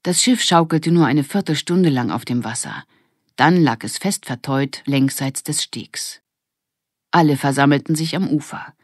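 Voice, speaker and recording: Low-voiced woman reading a children's story. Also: a little slow, husky, no room sound, clean studio sound. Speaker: audiobook narrator. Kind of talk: scripted children's story narration.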